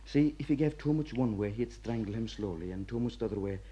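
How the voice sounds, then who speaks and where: An actor playing an older Irish prison warder, gruff yet fatherly, lecturing wryly in a radio play. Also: medium pitch, animated, fast talker, dark tone, dull audio, close to an everyday voice. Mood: grimly matter-of-fact.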